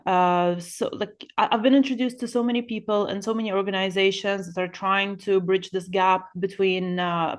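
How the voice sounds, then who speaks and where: A woman in her thirties introducing herself on a panel over a video call. She speaks haltingly and restarts her sentences often. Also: on the low side, smooth. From Ukraine. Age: 30s. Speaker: panelist, researcher.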